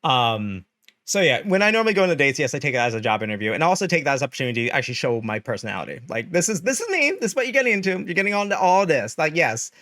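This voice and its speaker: A man's voice: fairly high pitch, sing-song, very fast, bright, forceful tone, dry recording, clean studio sound. A young man with a nasal voice, chatting animatedly and laughing often as he talks to his stream. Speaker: streamer host. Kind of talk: casual livestream chat.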